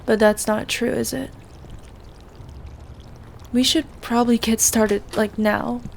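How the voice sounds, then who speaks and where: Actress as a creaky, higher-voiced curious friend. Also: female voice, fairly even intonation, slow pace, slight background noise. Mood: concerned, probing. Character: curious, concerned young woman friend. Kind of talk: audio roleplay acting.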